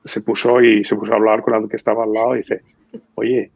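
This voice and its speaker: A man's voice: fairly low pitch, flat delivery, fairly quick, creaky, a little mellow, slightly rough, no echo, dull audio. A man in his fifties from the United States, a guest in a conversational interview, telling stories in an easy way with many fillers and restarts.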